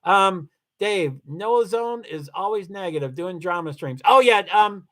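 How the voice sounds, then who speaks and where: A man's voice: sits high, lively intonation, a little slow. A man from Kentucky hosting a casual livestream, chatting loosely with viewers, rambling and often stopping to correct himself. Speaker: livestream host. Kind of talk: casual livestream chat, reading viewer comments.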